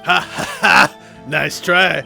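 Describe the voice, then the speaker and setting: A man's voice: sits high, steady tone, takes it slowly, creaky, pushed and bright, faint music behind, voice pushed up, heavily acted. A man voicing a blustering, easily flustered police detective in a video game, stammering and folksy. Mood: boastful. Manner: stammering, folksy, says pal.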